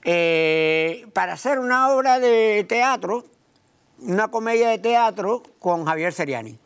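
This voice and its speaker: High-voiced rambling male comedian. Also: expressive, a bit bright, clean tone. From Puerto Rico. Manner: rambling storyteller, halting with many restarts. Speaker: comedian guest. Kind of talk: casual interview chat.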